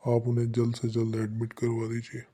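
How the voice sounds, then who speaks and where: An actor playing a doctor who calmly and gravely gives a family terrible news. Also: male voice, mid-range voice, close to an everyday voice. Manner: grave, measured, consoling. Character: calm hospital doctor delivering bad news. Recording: faint music behind.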